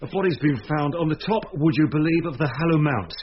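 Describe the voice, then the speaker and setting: Authoritative police detective, male actor, brisk delivery, slightly high pitch, lo-fi radio drama with light noise. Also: natural voice. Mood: urgent, commanding. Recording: muffled old radio recording, faint noise.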